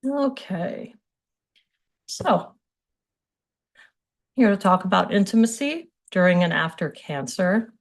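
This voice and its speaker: A woman's voice: mid-range voice, animated, takes it slowly, long pauses. A middle-aged woman with an American accent, a physical therapist giving an informal online presentation in an open, conversational way. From Hawaii, United States. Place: indoors on a video call.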